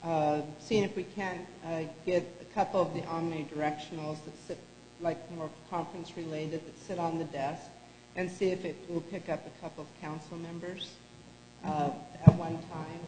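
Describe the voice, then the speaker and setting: A woman with a low voice reads out a clerk's report at a council meeting. She talks steadily and stops often on "uh". The sound carries a long hall echo and a faint hum. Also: normal speed. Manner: steady report broken by frequent "uh" fillers. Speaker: city clerk. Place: council chamber.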